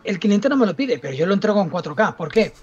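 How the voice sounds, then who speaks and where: A man's voice: sits high, sing-song, fast talker, slightly rough, muffled recording, faint music behind. A middle-aged man with an accent from Spain, a filmmaker talking insistently in a casual panel discussion. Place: indoors at home, video call.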